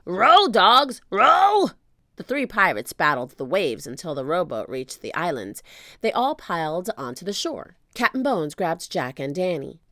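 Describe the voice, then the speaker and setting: A woman reading a children's book aloud as an expressive storyteller. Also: low voice, normal speed, no room sound, clean studio sound.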